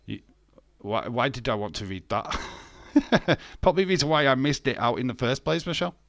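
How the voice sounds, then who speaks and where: A man's voice: medium pitch, lively intonation, a little fast, creaky, fairly bright tone, no echo, clean studio sound. Blunt man in his fifties, sarcastic. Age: 50s. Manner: blunt, swears freely, answers chat with sarcasm. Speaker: live stream host. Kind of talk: casual chat reading live comments.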